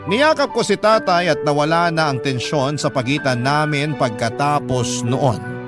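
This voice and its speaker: A man reading a scripted first-person story as the storyteller of a radio drama. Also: mid-range voice. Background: prominent music.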